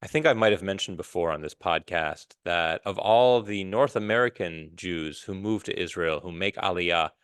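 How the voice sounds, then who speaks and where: A man hosting a podcast, talking in a measured, thoughtful way with pauses and restarts. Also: low voice, a bit bright, clean tone, dry recording, clean studio sound. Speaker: podcast host. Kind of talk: reflective solo podcast talk.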